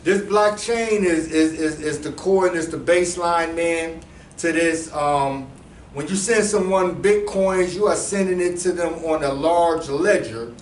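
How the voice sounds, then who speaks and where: A man's voice: fairly high pitch, unhurried. Slangy man talking in an echoey room. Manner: loose and conversational, with slang, repeated restarts and asides to the audience. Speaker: presenter explaining cryptocurrency to a small audience. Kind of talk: casual talk to a live group. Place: room with a small seated audience. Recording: echoey room voice from a distance, faint background hum.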